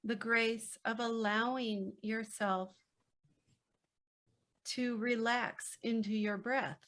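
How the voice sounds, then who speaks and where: A woman speaking as a podcast guest in a reflective conversation, gentle, unhurried and reassuring. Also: a little high, fairly even intonation. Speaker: podcast guest, children's book author.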